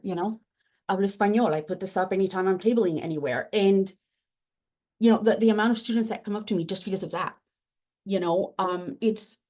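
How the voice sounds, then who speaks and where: A woman's voice: mid-range voice, darkish tone. Earnest woman with many fillers. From immigrant, first generation. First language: Spanish. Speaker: book editor on a panel. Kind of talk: panel discussion answer.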